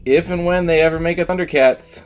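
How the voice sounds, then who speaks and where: A man's voice: fairly high pitch, fairly even intonation, brisk, clean tone, faint music behind. A man streaming a game, rambling casually with lots of ums and the odd swear word. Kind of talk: casual chat while gaming. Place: at home, streaming.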